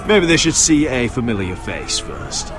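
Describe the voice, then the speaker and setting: A man's voice: mid-range voice, huge pitch swings, a little slow. A man voicing a bold, brash young sellsword in a video game, direct and cocky, over music. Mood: wry. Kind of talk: video game character acting. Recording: music behind the voice.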